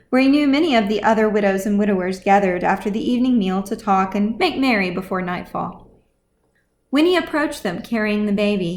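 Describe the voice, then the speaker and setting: A woman reading scripted fantasy fiction as audiobook narration, as the author of the story. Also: medium pitch.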